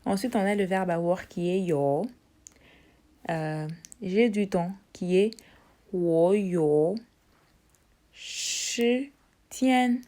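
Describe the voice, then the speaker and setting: A woman teaching a casual language lesson, repeating words slowly and explaining each in turn. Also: mellow. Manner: drills tones in a high voice.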